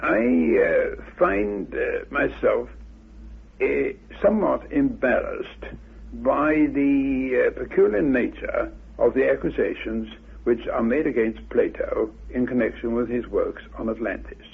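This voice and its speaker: A man speaking in an unscripted interview, formal, deliberate and emphatic, in long halting sentences. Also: medium pitch, animated, creaky, breathy edge. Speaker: interviewed researcher. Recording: muffled, narrow-band old radio interview.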